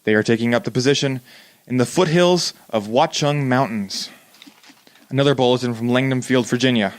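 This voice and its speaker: A young man acting as a grave male news announcer in a radio play, with formal, measured phrasing in the style of 1930s bulletins. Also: mid-range voice, natural voice. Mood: urgent, formal. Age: young adult. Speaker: student actor in a radio play.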